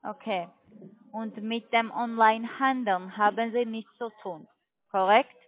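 A woman's voice: a little high, steady tone, unhurried. Foreign-accented woman on a tinny phone line. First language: not German. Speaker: telemarketing caller. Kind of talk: sales phone call.

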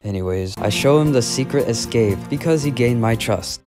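A young man narrating his game episode and chatting in voice chat, excitable and quick to repeat himself in bursts. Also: mid-range voice, over music.

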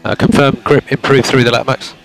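A man working as a race engineer, giving calm, clipped instructions to a driver over team radio. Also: medium pitch, fairly quick, creaky. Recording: noisy team radio, car engine sound.

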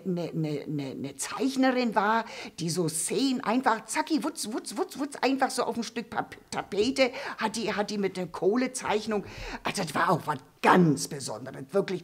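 A woman's voice: medium pitch, husky. A woman voicing a gossipy old female puppet who rambles, stammers and restarts her sentences. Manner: stammering, full of fillers and restarts. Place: dark theatre stage.

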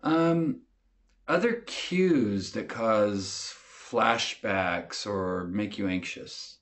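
Clinician, unhurried, lower-pitched, measured, probing questions, training film. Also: male voice, fairly bright tone, heavily acted. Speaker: actor as interviewer. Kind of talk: acted clinical interview.

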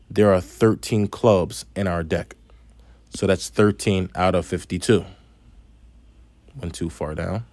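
Low-voiced man with a slight lisp. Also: a little mellow, no echo. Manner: patient, step-by-step explaining with asides. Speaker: math instructor. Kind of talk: screen-recorded math lesson.

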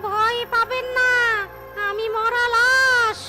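A performer voicing a mischievous talking corpse in a children's cartoon, taunting and giggling in a drawn-out delivery. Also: extremely high pitch, flat delivery. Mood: teasing. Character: mischievous talking corpse risen from the grave, cheeky prankster. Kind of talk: exaggerated children's cartoon acting. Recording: loud music behind the voice.

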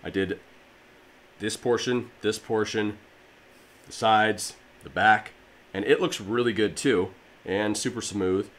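A man in his thirties with a slightly raspy voice, casually explaining a DIY project to camera in his home garage gym, with plenty of fillers. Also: fairly low pitch, steady tone, slow pace, long pauses, fairly bright tone. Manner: rambling, filler-heavy, friendly explainer. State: allergies. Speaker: fitness DIY channel host. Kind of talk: casual how-to talk to camera.

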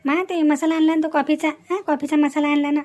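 A woman with a Vidarbha Marathi accent playing a tender middle-aged wife and mother in a cartoon family drama, gentle and placating. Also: high-pitched, little pitch movement, brisk, close to an everyday voice. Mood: contented.

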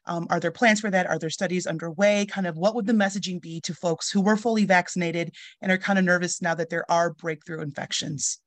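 Quick-talking woman moderating a call. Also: fairly low pitch, fairly even intonation, no echo. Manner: brisk, conversational questioning. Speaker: host. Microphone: video call.

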